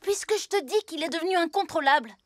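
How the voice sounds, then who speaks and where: A woman voicing a brisk, decisive six-year-old superhero leader with a commanding, urgent manner in a French cartoon dub. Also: extremely high pitch, animated, crowd noise. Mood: insistent. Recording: loud music behind the voice.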